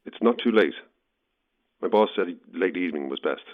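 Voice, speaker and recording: An actor playing a probing, awkwardly blunt Irish newspaper journalist, heard through a telephone filter in an audio drama. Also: male voice, sits low, lively intonation, fairly quick. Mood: businesslike. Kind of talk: naturalistic audio horror drama. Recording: thin, narrow telephone line.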